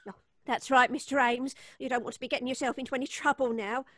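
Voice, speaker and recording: Woman acting a high-pitched, folksy superstitious housekeeper. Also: fairly even intonation, quick pace, no room sound, clean studio sound, natural voice. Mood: wary, humouring. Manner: folksy, deferential, dramatic. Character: superstitious, deferential female house cleaner. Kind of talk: old-time radio suspense acting.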